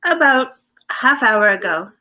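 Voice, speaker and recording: Female actor as coy, affectionate detective's wife, radio play, higher voice, lilting animated delivery. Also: slow pace, full character voice. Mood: coy, composed. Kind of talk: 1940s radio drama acting.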